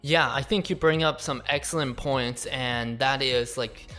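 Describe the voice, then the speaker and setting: A man hosts a casual interview and picks up his guest's points in a measured, conversational way. His pitch is mid-range. Soft music plays faintly behind him.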